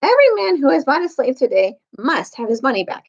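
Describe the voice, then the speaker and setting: A righteous young storybook king, read by a woman, declares things formally in a high, animated voice. The sound is a little muffled. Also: fast talker. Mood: stern, commanding. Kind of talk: children's storybook read-aloud.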